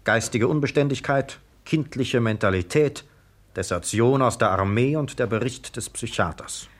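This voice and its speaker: An actor playing a stern, methodical police sergeant in a 1950s radio play, speaking in a brisk, probing, official way. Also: male voice, medium pitch, lightly acted. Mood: grave, factual.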